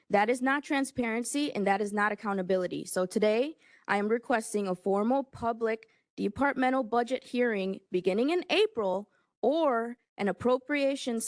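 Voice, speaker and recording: Forceful woman addressing a county board. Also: fairly high pitch, no room sound, studio quality. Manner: forceful, pointed, accusatory. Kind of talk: prepared statement at a public board meeting.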